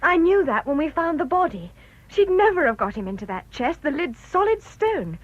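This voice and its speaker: High, animated, outspoken woman. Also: normal speed, no room sound. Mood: insistent. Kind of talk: radio drama acting. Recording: dull lo-fi radio recording.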